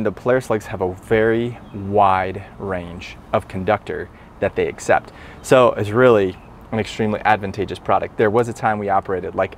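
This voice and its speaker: A man's voice: fairly low pitch, a little hiss or hum. A talkative man with a nasal voice, an electrician explaining his work on the job in a casual, upbeat way. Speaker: electrician and instructor.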